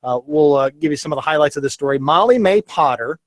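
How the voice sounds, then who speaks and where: A man's voice: on the high side, animated, fast, no room sound, studio quality. A man hosting a local radio show, chatting casually and reading a story aloud with many fillers and restarts.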